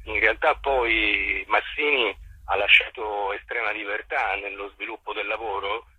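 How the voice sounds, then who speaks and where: A man giving a radio interview as a theatre director, speaking in a measured, halting way with elaborate, formal phrasing. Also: lowish voice, fairly even intonation, bright, forceful tone, slightly rough, no room sound, low-quality recording. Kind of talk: radio interview, explanatory talk.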